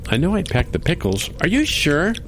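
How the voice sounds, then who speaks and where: A man playing a goofy, absent-minded dad in a comedy radio play, earnest and animated. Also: on the high side, moderate pace, background noise. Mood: flustered.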